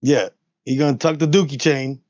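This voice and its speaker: A man's voice: medium pitch, steady tone, moderate pace, a little mellow, no echo. A man playing a tabletop game, trading casual banter in short, slangy, joking phrases. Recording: dull, lo-fi mic with faint music.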